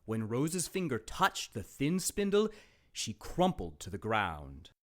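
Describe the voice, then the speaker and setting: A man narrating a children's fairytale as a storybook reader, in a dramatic, expressive way. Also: medium pitch, slow pace.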